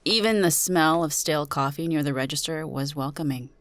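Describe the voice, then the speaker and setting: A woman's voice: low-pitched, brisk, fairly bright tone, no echo. A woman narrating a suspense story in the first person, as a dramatic reading.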